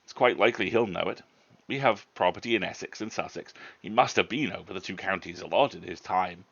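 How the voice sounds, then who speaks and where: An urgent, precise university don in a haunted storybook tale, acted out by a male reader. He probes with excitement in a gravelly voice. The livestream sound is a little dull. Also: deep voice, fairly quick, heavily acted. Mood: brisk, excited. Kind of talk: dramatic storybook reading.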